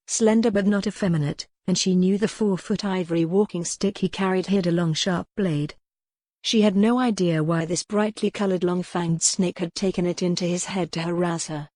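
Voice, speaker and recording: A woman with a fairly low voice narrates a historical romance. Her delivery is scripted storytelling for an audiobook, recorded dry with little room sound. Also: warm tone, dry recording.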